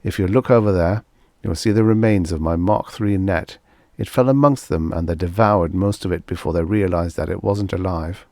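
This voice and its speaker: A man's voice: sits low, normal speed, dark tone, dry recording, clean studio sound. A man narrating an audiobook voices a thoughtful space explorer, explaining things earnestly. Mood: grave, explaining.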